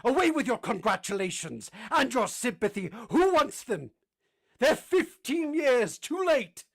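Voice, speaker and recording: Brooding period gentleman played by a male actor, bitter, anguished, declamatory radio drama delivery. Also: very high voice, sing-song, a little slow, vocal fry, exaggerated voice. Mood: bitter defiance. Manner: impassioned, declamatory. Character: anguished, brooding English gentleman, bitter and pleading with his beloved.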